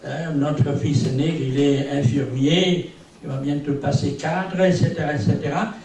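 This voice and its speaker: An older man whose first language is English preaches a sermon, his voice sitting a little high. He rambles from point to point with small asides and gentle self-mockery. He is heard from a distance, the sound washing around a very echoey hall over a faint hiss. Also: steady tone, measured pace. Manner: rambling, peppered with "eh bien" and "hein". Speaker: visiting preacher.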